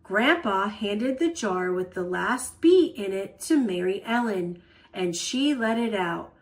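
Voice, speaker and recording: A woman storyteller reading a children's picture book aloud in an expressive style. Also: mid-range voice, takes it slowly.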